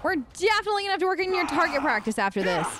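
A woman's voice: very high voice, very animated, very fast. A woman gaming host doing casual let's play commentary, talking in character. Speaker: gaming host, role-player.

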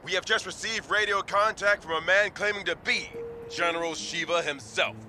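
Sharp, bright radio newsman. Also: high-pitched, normal speed, a little hiss or hum. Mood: urgent, formal. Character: brisk, formal male radio news announcer. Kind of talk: news bulletin.

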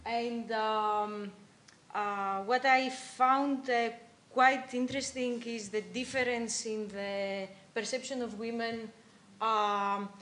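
A woman from Greece, a professor speaking haltingly on a panel with many fillers. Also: fairly high pitch, steady tone, a bit bright. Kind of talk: hesitant personal remarks. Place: lecture room panel table.

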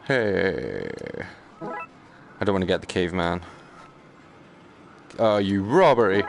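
A man's voice: sits low, big pitch swings, takes it slowly, gravelly. A British man giving casual live gaming commentary, grumbling sarcastically with mild swearing over background noise. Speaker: gaming streamer.

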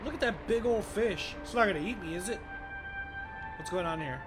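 A man's voice: high-pitched, brisk. Young man with a sore throat. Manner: awed, chatty, easily amazed. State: sore throat, voice partly gone. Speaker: gaming YouTuber. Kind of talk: casual let's play commentary. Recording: gameplay music underneath. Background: calm game music.

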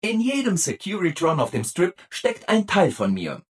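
Over-eager robot assistant, video game character, hugely swooping intonation, very husky rough-edged tone, relentlessly cheerful. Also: male voice, high-pitched, huge pitch swings, moderate pace, exaggerated voice. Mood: cheerfully apologetic. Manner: chirpy, over-eager, exaggeratedly obliging.

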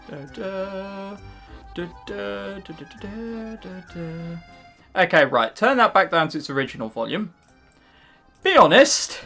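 A man's voice: high voice, a bit bright. A man reading a visual novel aloud and mocking it with sarcastic comments, as a let's play host.

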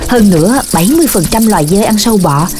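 Patient, expressive cartoon mother played by a woman. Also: mid-range voice, racing, background noise, lightly acted. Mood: instructive. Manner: explanatory, patient. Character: gentle, knowledgeable cartoon mother teaching her child. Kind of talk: children's cartoon dubbing. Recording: music behind the voice.

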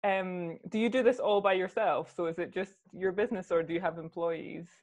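A woman's voice: on the low side, steady tone, brisk, a little mellow. A young woman hosting an interview over a video call from a room at home, talking into a lapel mic and asking questions in an encouraging, affirming way. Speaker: host, interviewer.

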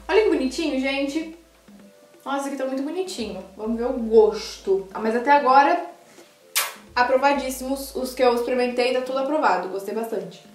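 A woman's voice: sits high, fairly quick, roomy sound, soft background music. A young woman from Brazil tasting food on camera in a casual vlog, gushing and talking straight to her viewers. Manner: gushing, animated, addresses viewers directly. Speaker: food vlogger. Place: small indoor room by a sofa.

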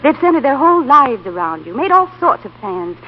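A woman playing a sensible, affectionate young woman in love, gentle but firm, in 1930s radio acting style. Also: high-pitched, very animated, fairly quick. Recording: muffled, hissy 1930s radio broadcast.

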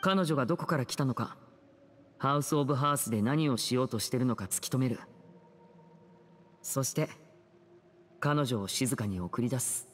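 A male actor playing a composed, protective teenage magician in an anime game, speaking smoothly and earnestly. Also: mid-range voice, moderate pace, long pauses, lightly acted. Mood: calm, resolute. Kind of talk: Japanese anime game voice acting.

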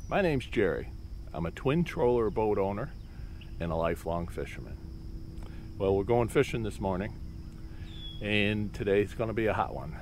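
A man's voice: deep voice, slight background noise. A man in his sixties talking casually and plainly as host of a fishing vlog, outdoors on a small boat.

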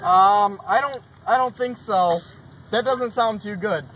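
A young man talking casually and haltingly, stalling as he orders like a customer from inside a car. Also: high-pitched, fairly bright tone, a little hiss or hum, low-quality recording. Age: young adult. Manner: hesitant, stalling. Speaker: prankster customer at a drive-thru. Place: inside a car at a drive-thru. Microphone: handheld camera inside the car.

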